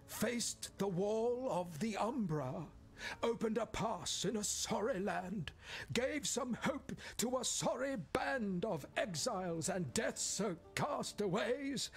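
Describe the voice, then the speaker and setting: A female bard in a video game. She recites verse in a high, lyrical voice at a deliberate, slow pace. Quiet music sits behind her.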